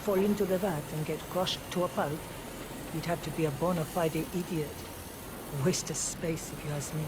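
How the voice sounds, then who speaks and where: A woman's voice: steady tone, normal speed, lightly acted. A woman playing a blunt, scornful tour guest in a video game, speaking in a sardonic, dismissive way. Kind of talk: scripted video game dialogue. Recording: clear ambient rumble behind.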